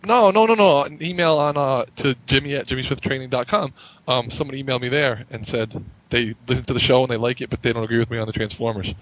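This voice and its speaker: A man co-hosting a podcast in casual banter, blunt and animated, laughing easily. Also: mid-range voice, quick pace, dull audio, slight background noise. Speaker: podcast co-host.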